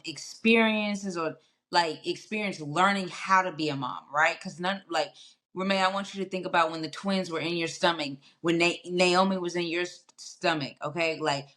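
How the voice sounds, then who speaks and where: A woman's voice: fairly low pitch, fairly bright tone. A woman hosting a casual panel conversation over a video call, chatty and loose with lots of fillers. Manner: chatty, many fillers and restarts. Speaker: podcast host.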